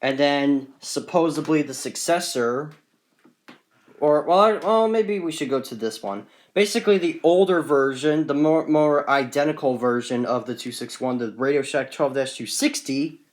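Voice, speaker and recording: A man's voice: fairly high pitch, moderate pace. A man casually presenting a collection in an off-the-cuff, rambling, matter-of-fact way, recorded on a handheld phone. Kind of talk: casual off-the-cuff show-and-tell.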